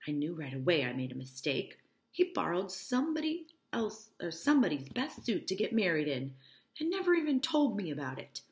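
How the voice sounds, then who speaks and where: A woman's voice: lowish voice, sing-song, fairly quick. A woman voicing a brash, scornful married woman in a novel read-aloud, with an emphatic, indignant way of talking. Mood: indignant, aggrieved. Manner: emphatic, incredulous, declaring.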